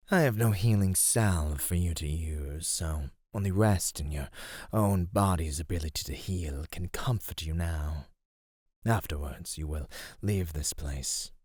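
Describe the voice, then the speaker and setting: A man playing a teasing, condescending serpentine monster predator in a theatrical ASMR roleplay, speaking in a deliberate, menacing way. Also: a bass voice, a little mellow, husky, no room sound, clean studio sound, heavily acted. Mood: stern, grudging.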